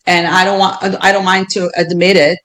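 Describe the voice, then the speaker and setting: A woman's voice: fairly low pitch, little pitch movement, moderate pace, fairly bright tone. A woman with an Israeli accent speaking as a podcast guest, earnest and reflective, often restarting and repeating words as she thinks aloud. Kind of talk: reflective interview talk.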